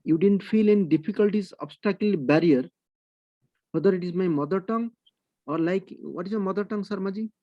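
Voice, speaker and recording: A man's voice: high voice, fairly quick, no echo, dull audio. A man teaching an online class, mixing Hindi and English and coaxing his students to speak up. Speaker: Sanskrit teacher. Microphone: video call.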